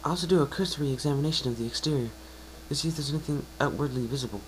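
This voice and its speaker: A man's voice: a little high, racing, slight background noise, pitched above the performer's own voice, close to an everyday voice, poor audio. A man voicing an earnest, bookish young female unicorn, polite and easily flustered, in a fan fiction reading. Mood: gentle, earnest. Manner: eager, polite, explanatory.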